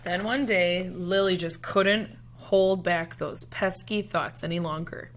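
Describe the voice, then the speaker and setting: A woman reading a children's picture book aloud expressively, in measured phrases with pauses, as a storyteller speaking to young listeners. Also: lowish voice, steady tone, slight background noise.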